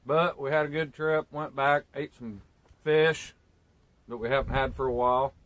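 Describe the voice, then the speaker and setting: A man's voice: mid-range voice, steady tone, normal speed, a bit bright, dry recording, poor audio. A man in his thirties chatting casually in a laid-back, rambling way, sitting outdoors on a sunny porch and filmed by a camera a few feet away. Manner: laid-back, rambling, plain country talk. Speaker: homesteading vlogger. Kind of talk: casual chat. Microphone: camera on a tripod a few feet away.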